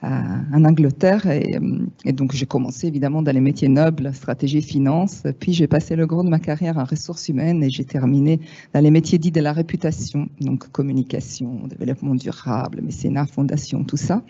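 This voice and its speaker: A woman in her fifties from Italy, speaking French with an Italian accent, giving a lively, frank talk to an audience with wry asides. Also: sits low, a little fast, breathy edge. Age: 50s. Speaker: speaker at a panel. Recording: dull lo-fi sound.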